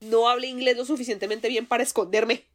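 A woman's voice: a little high, fairly even intonation, fairly quick. A woman from Colombia with a Colombian accent, co-hosting a podcast in casual chat, laughing often and restarting her sentences. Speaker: podcast co-host.

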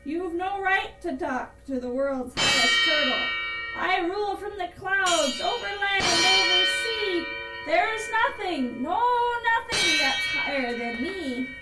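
Woman putting on a pompous high-pitched turtle king. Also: sing-song, slow, exaggerated voice. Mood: indignant, defiant. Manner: imperious, grandiose, bellowing. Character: pompous, bellowing, boastful turtle king, male. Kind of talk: rhymed storybook read-aloud. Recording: roomy echo, distant, loud music behind. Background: loud music.

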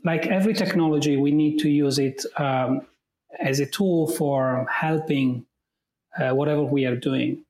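An Italian man with a fairly high voice answers interview questions as an AI specialist. He talks thoughtfully, pausing often with fillers and restarting sentences. His voice rings in an echoey room. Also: a little mellow. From Italy. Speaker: AI specialist guest.

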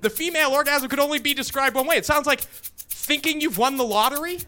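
A male comedian doing a stand-up set in a very high, bright voice. His delivery is crude and conversational, and there is faint music in the recording. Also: fairly quick.

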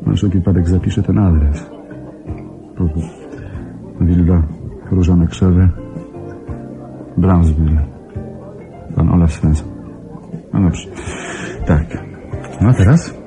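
A young man in a radio play, full of ironic banter and protective toward his friend. Music runs clearly behind his voice, which sits at an ordinary male pitch. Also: slow, long pauses, poor audio. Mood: concerned. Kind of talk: Polish radio play acting.

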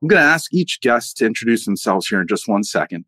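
A man hosting a panel and introducing the guests in a measured way. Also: fairly low pitch, big pitch swings, bright, forceful tone, no room sound, clean studio sound. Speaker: host.

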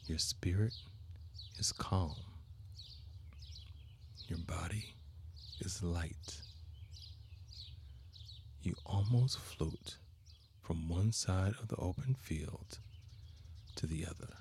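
A man narrating a guided meditation as a podcast host, with measured, soothing, deliberate phrasing. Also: low-pitched, creaky, darkish tone, a little hiss or hum.

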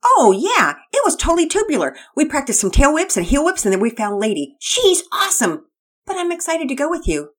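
A woman's voice: high voice, huge pitch swings, a little fast, pitched above the performer's own voice. A woman voicing an enthusiastic, slangy surfer-dude teenage boy in a read-aloud story. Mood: excited. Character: laid-back surfer-dude teen boy.

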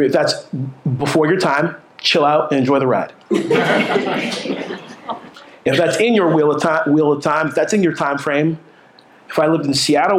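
A man in his forties with a New York accent delivers a sermon, joking with the room and tripping over repeated words as he restarts. His voice sits high for a man. The sound carries a roomy echo, as in a church hall. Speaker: pastor. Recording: echoey room.

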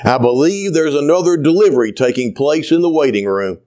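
A man voicing a gentle middle-aged family doctor, speaking calmly. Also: fairly high pitch, fairly quick, close to an everyday voice. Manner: gentle, calm.

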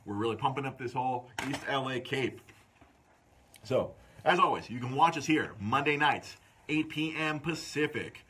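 A man hosting a live podcast stream from a comic store, loud and joking. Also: mid-range voice, normal speed, breathy edge. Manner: loud, joking, plugs events. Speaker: podcast host. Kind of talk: casual live-stream chat.

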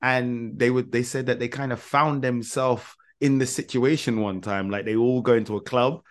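A man's voice: mid-range voice, normal speed. Teasing man chatting on a podcast. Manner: relaxed, teasing, rambling anecdotes. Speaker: podcast co-host. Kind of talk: casual chat.

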